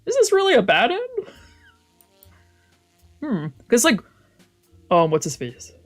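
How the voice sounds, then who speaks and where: A casual game streamer with a mid-pitched voice. They read story text aloud and break off into loose, sweary commentary and exclaimed reactions. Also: wildly swooping pitch, takes it slowly, soft background music. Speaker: streamer doing a let's play.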